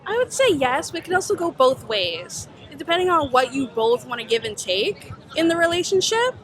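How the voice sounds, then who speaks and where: A woman from Detroit answering street interview questions outdoors, earnest and measured. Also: high-pitched, big pitch swings, slight background noise. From Detroit, USA. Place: outdoor festival.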